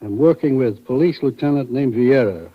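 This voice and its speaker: Male actor as authoritative commissioner, husky, telegraphic delivery, dull vintage radio sound. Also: mid-range voice, moderate pace, close to an everyday voice. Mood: businesslike. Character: brisk, authoritative American commissioner reading reports aloud. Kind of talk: old-time radio drama acting.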